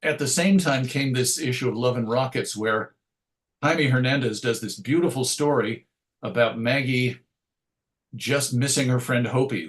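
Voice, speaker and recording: A middle-aged man reminiscing casually as an interview guest, hesitant and rambling, often interrupting himself to restart a thought. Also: mid-range voice. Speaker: interview guest, writer and radio show host.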